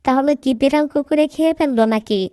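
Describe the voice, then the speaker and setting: A woman's voice: high voice, fast, warm tone, no room sound, natural voice. A woman voicing a thrifty, grumbling village housewife in a cartoon. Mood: puzzled, defensive. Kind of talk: cartoon voice acting. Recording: faint music behind the voice.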